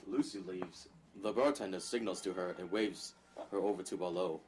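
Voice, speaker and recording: A male narrator with a deep voice reads the action of a drama from a script. Faint music sits underneath. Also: moderate pace, darkish tone. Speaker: narrator reading stage directions.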